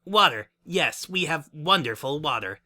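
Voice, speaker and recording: A man's voice: a little high, expressive, a little slow, bright, forceful tone, voice pushed up, full character voice. A man voicing a flustered, anxious young female pony with a hesitant, awkward manner in a dramatic story reading.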